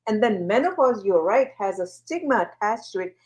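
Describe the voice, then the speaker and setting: A woman's voice: mid-range voice, normal speed, poor audio. A woman from India, a guest on a talk show, answering interview questions earnestly and with many fillers. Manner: animated, earnest, uses fillers. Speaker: guest, wellness platform founder.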